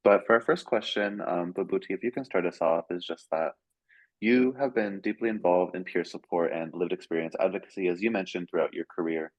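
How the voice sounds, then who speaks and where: A man's voice: low voice, brisk, no echo. A man moderating an online panel, introducing speakers and reading questions in a friendly, organized way with frequent "um"s. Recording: dull, dry, lo-fi call audio.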